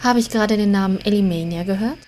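Woman doing a bossy snooty elf girl, normal pitch, audio comedy, faint background noise. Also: fast talker, darkish tone, natural voice. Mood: self-assured. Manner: self-assured, haughty. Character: confident, bossy young female blood elf mage. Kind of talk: comedic audio drama acting.

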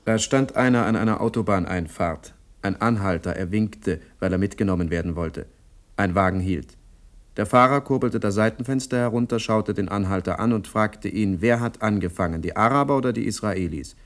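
An actor playing a plainspoken man who delivers deadpan monologues in a radio play. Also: on the low side, moderate pace, close to an everyday voice. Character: plainspoken man telling deadpan anecdotes and grievances.

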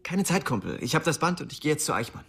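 A man's voice: fairly high pitch, big pitch swings, quick pace, creaky, husky, heavily acted. Laid-back, dry young man. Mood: offhand. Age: young adult. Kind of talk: radio drama acting.

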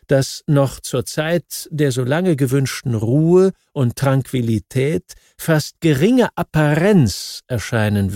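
A man reads scripted historical non-fiction as an audiobook narrator, in a measured, formal manner with deliberate emphasis. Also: medium pitch, creaky, a little mellow, dry recording, clean studio sound.

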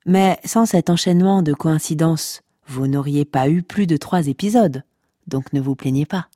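An actress playing a sardonic, teasing storyteller who narrates comic podcast fiction and scolds listeners with deadpan wit. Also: female voice, low voice, normal speed, dry recording, studio quality. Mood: wry.

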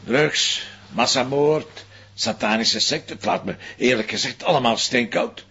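An actor playing a stubborn, impulsive police commissioner with a very husky voice and a Flemish accent, insistent and animated, in a crime drama. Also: male voice, medium pitch, unhurried, muffled recording, full character voice. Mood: determined. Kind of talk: television crime drama acting.

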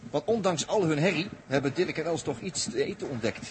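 A man's voice: a little high, lively intonation, brisk, natural voice. A man acting a talkative, wry and impatient space traveller who needles his companion in a 1960s radio play. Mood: wry, reproachful. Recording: vintage radio recording with faint noise.

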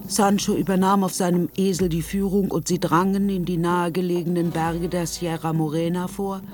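A narrator telling the story in a radio play, measured and literary. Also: medium pitch, flat delivery, a little mellow, faint music behind, natural voice.

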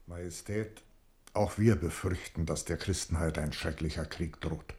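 A solemn envoy addresses a monarch in a deep male voice. He is dignified, formal and deliberate, with an anxious gravity suited to historical radio drama. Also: steady tone, a little mellow. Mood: solemn, concerned. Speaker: actor. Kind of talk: German radio play acting.

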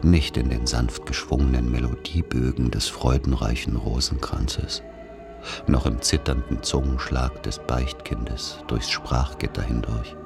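An actor playing a brooding writer, speaking a solemn, measured literary monologue in a gravelly voice. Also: male voice, extremely low pitch, fairly even intonation, over music, exaggerated voice. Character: brooding male writer recalling his childhood faith. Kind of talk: literary radio-play monologue.

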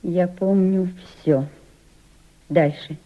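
A woman's voice: fairly low pitch, fairly even intonation, very slow. An actress in a Russian radio drama playing a reserved, cautious émigré noblewoman turned journalist, speaking in a clipped, businesslike way. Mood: cool, restrained. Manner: clipped, restrained, guarded. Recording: dull, lo-fi old radio play recording.

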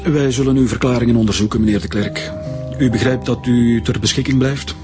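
An actor with a Flemish accent playing a brisk, formal chief police commissioner in a radio drama, measured and officious. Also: male voice, mid-range voice, steady tone, close to an everyday voice. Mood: probing, stern. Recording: music behind the dialogue.

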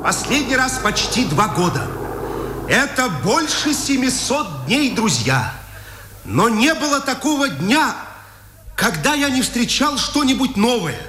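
An impassioned journalist orating in an old radio drama. His very high voice moves slowly and declaims with pauses, echoing over music. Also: very animated, bright, forceful tone, slight background noise, full character voice. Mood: earnest, stirring. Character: impassioned, earnest male journalist giving public speeches. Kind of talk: 1950s radio drama oratory. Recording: echoey old radio hall recording.

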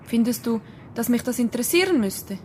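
Radio drama: actress as a prickly, questioning woman, fairly high voice, naturalistic, faint ambience with crows behind. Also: animated, brisk, background noise, close to an everyday voice. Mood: cool, wary. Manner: answers with challenging questions.

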